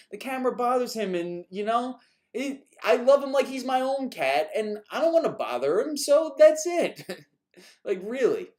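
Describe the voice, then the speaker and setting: A man's voice: high-pitched, a little mellow. A man from New York chatting casually on a live stream, answering viewer questions in a rambling, chuckling way, a bit exasperated at repeat questions.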